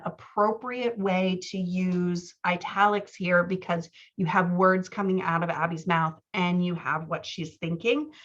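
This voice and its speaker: Lower-voiced woman coaching on dull audio. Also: fairly bright tone. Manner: conversational, self-deprecating humour. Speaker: writing coach. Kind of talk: workshop critique, reading excerpts aloud and commenting.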